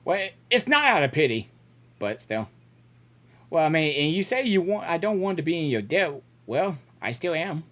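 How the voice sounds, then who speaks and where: A woman's voice: low-pitched, big pitch swings, moderate pace. A woman streamer giving casual live reaction commentary on a game, repeating herself as she reacts aloud. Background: game music.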